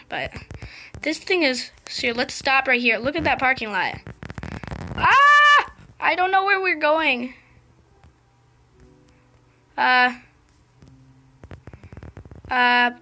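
A young girl commentating her gameplay in a casual, excited, chatty way, her voice slightly scratchy. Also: a little high. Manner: excited, chatty, impatient exclamations. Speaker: gameplay video host.

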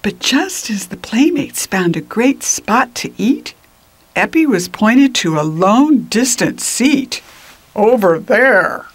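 Unhurried woman reading a picture book aloud. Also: on the high side, breathy edge, dry recording. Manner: expressive, deliberate, drops into whispers. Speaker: children's storybook reader. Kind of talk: picture book read-aloud with asides to children.

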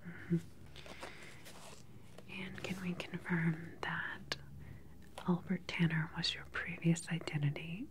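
A woman in her thirties whispering gently and methodically in an ASMR roleplay as a calm research doctor questioning a patient, close to the microphone. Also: on the low side, takes it slowly, long pauses, noisy background. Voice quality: breathy, unvoiced whisper.

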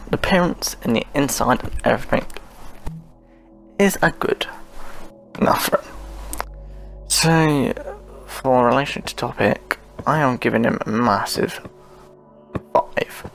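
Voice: mid-range voice, vocal fry, a bit bright. A gaming host giving casual, rambling commentary while playing, often murmuring short repeated phrases.

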